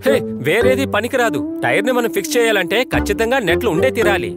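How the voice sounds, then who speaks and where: A man's voice: sits high, expressive, quick pace. A man voicing a proud, wealthy scientist in a dubbed cartoon, dismissive toward others. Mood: haughty. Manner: haughty, dismissive. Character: proud wealthy middle-aged male scientist. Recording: music bed under dialogue.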